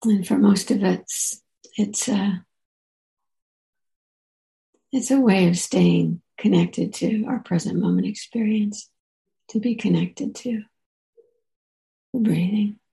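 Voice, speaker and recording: Slow, creaky-voiced woman guiding meditation. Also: medium pitch. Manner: unhurried, gentle pauses. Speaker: meditation teacher. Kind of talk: guided meditation.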